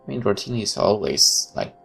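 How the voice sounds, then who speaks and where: A man's voice: fairly low pitch, lively intonation, moderate pace, creaky, a bit bright, a little hiss or hum. A man reading a horror visual novel aloud as a let's player, with casual commentary of his own.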